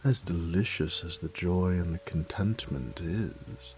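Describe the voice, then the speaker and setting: A man playing a cruel, gloating vampire lord in a horror audio roleplay, theatrical and menacing, often slipping into breathy whispers. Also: low-pitched, darkish tone, full character voice, poor audio. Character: cruel, gloating male vampire lord savoring his human prey.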